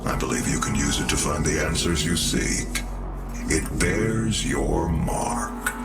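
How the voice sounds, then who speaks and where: An actor playing a calm, authoritative cyborg scientist in a video game, speaking in a gravelly, measured, formal way. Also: male voice, extremely low pitch, far from the mic, loud music behind the voice, full character voice. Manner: measured, formal, deliberate delivery. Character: calm, authoritative male cyborg scientist leading a research facility. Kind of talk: scripted video game cutscene acting.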